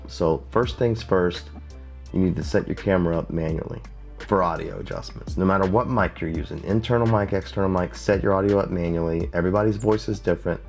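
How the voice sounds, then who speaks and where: Deep-voiced man giving a casual tutorial. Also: a little mellow. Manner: plain-spoken, repeats himself for emphasis. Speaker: YouTube creator teaching video basics. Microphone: lav mic, wired then wireless.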